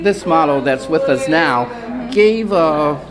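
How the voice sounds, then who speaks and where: A man's voice: fairly high pitch, expressive, takes it slowly. A man interviewing a designer at a fashion event, effusive and gushing with praise. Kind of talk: enthusiastic interview questions. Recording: music playing behind. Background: music.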